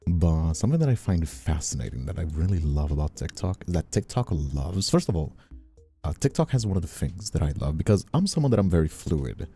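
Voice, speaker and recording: A man's voice: low voice, big pitch swings, a little fast, warm tone, husky, faint music behind. A man from Brazil, a native Portuguese speaker, chatting casually as a livestream host in a rambling way. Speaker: streamer and voice actor, hosting.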